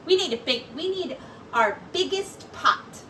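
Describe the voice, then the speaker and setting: Woman voicing a brave little girl, high, lively. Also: a little slow, heavily acted. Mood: eager. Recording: distant mic with faint background noise.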